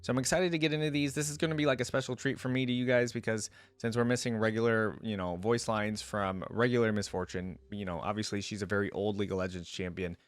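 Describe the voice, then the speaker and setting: A man's voice: lowish voice, fairly even intonation, fast talker, few pauses, no room sound. A man giving casual, rambling reaction commentary as a video host, thinking aloud.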